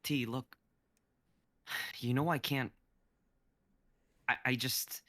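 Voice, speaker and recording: A man's voice: mid-range voice, steady tone, takes it slowly, fairly bright tone, dry recording. A man reading the part of a defensive young husband who pleads with his wife, in a live-streamed script reading. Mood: pleading, defensive. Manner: pleading, flustered.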